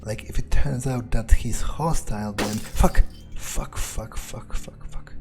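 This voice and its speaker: A cynical, jittery vampire played by a man talks to himself through a video game, with music clearly playing behind him. He takes his time, muttering and hesitating, and swears freely. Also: medium pitch, slow pace. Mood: startled, nervous. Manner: muttering, hesitant, foul-mouthed. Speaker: gamer playing in character. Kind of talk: in-character video game playthrough monologue.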